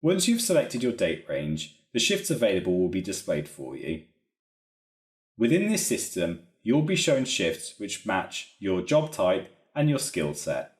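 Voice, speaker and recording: The recording is roomy and echoey. A man with a fairly low voice narrates an instructional walkthrough. He explains each step methodically, with lively, varied intonation. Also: a little slow. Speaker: software trainer.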